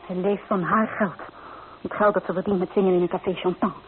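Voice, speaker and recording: A woman's voice: fairly low pitch, steady tone, brisk, lightly acted. A young provincial woman, played by an actress in a radio play, homesick and bitter. She speaks in earnest, wistful, dramatic phrases. The recording is thin and narrow, like an old telephone line. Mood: hurt, indignant. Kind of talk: Dutch radio play acting.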